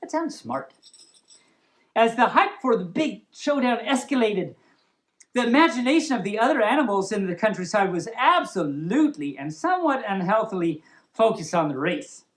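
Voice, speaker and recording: A woman's voice: mid-range voice, sing-song, moderate pace. A woman reading a humorous story aloud as host, playful and giggly, breaking off for casual asides. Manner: playful, giggly, stumbles over words and riffs on them.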